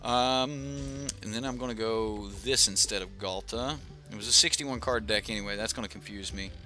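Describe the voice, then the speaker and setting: A man's voice: fairly low pitch, moderate pace, pushed and bright. A young man casually commentating his own card game on a live stream, thinking aloud with lots of fillers and restarts.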